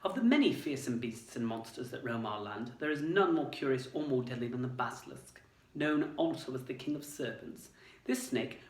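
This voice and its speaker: A man's voice: a little high, voice pushed up, close to an everyday voice. A man doing a bookish schoolgirl reading aloud. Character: clever teenage schoolgirl reading an old book. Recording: roomy, distant mic.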